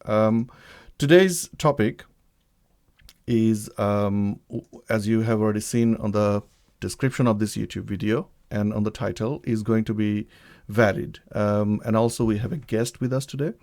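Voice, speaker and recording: Halting, low-voiced man hosting a livestream. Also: a little mellow. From Bangladesh. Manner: hesitant, many ums and restarts. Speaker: livestream host. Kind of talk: live-streamed talk introduction. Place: home setup, living in the UK.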